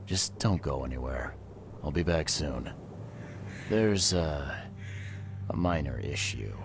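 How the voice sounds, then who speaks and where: Very deep-voiced, weary game investigator. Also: male voice, animated, slow, noisy background, full character voice. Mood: calm, conciliatory. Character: gruff, weary, plain-spoken male investigator, calm and fair-minded. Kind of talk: video game cutscene dialogue.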